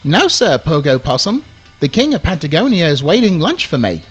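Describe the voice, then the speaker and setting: High, husky, haughty little worm played by a man. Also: very animated, normal speed, faint music behind. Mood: haughty refusal. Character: small, wriggly, haughty angleworm who declines invitations. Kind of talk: live radio play acting.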